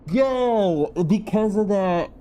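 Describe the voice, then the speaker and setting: A man commentating casually on a video game he is playing, talking in an animated, slangy way. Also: high-pitched, slow pace, smooth. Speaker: gaming video creator.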